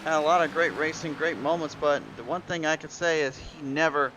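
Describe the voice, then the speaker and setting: A man's voice: a little high, fairly even intonation, fairly quick, noisy background. A man giving rambling live play-by-play commentary on a racing league broadcast, a little under the weather, his voice cutting out at times. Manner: rambling play-by-play with restarts and fillers. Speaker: race commentator.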